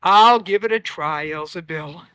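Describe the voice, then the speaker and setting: A woman voicing a meek, kindly fisherman husband in a lowered, gentle voice. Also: measured pace, clear, resonant tone, dry recording.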